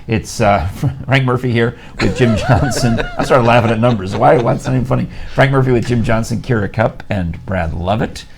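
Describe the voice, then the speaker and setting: A man's voice: mid-range voice, normal speed, creaky, few pauses, noisy background. A middle-aged man hosting a morning TV talk show, chatty and jokey, often laughing. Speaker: host. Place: TV studio desk. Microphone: lapel mic.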